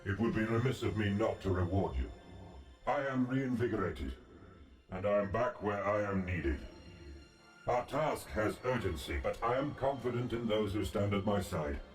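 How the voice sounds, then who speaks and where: An actor playing a calm, solemn ancient god in a fantasy game, speaking in a formal, measured and imperious way. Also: male voice, fairly low pitch, natural voice. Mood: solemn, assured. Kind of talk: scripted fantasy game dialogue. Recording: roomy, distant, music behind.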